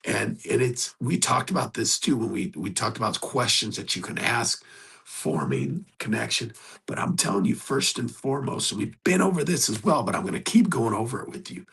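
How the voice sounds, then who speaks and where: Hoarse, gravelly man coaching earnestly. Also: mid-range voice, lively intonation, normal speed, fairly bright tone. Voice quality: gravelly, husky. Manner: earnest, exhorting, conversational. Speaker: host. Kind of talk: motivational coaching talk.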